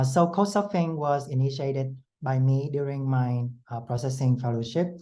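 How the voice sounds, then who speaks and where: A man's voice: medium pitch, mellow, low-quality recording. Nervous man. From Vietnam. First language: Vietnamese. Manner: nervous, with halting non-native phrasing. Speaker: educator, fellowship presenter. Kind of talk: online presentation. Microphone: video call.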